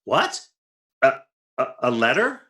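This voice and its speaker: A man's voice: mid-range voice, wildly swooping pitch, slow pace, bright, forceful tone, heavily acted. Flustered radio-comedy husband with swooping inflections, acted by a man. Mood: uneasy, suspicious. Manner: flustered, incredulous, wry. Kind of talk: re-enacted 1940s radio comedy acting. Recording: roomy, echoing voice recording.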